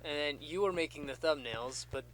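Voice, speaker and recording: Male co-player, slightly high voice, casual gaming chat over a clearly noisy recording. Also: a little fast. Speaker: let's play co-player.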